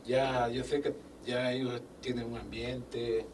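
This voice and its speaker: A man's voice: medium pitch, slow pace. Older man, chatty storyteller. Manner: animated, acts out quoted dialogue, religious phrasing. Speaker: guest, business owner. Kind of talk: casual interview storytelling. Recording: distant mic with faint background noise.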